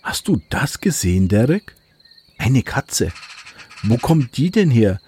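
A man's voice: medium pitch, very animated, takes it slowly, dark tone, husky, exaggerated voice. An actor playing an easygoing solitary man who mutters and exclaims to his dog in a German radio play. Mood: astonished, curious.

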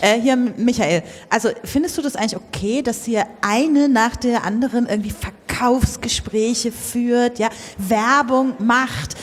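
A woman plays a fervent idealist in improvised comedy. Her voice is slightly high and husky, pressing and urgent. It rings in a large echoing space. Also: a little slow, hardly any pauses. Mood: challenging. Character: fervent anti-commercial dog owner craving sad true feelings. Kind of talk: improvised comedy radio play. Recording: echoey hall with long reverb.

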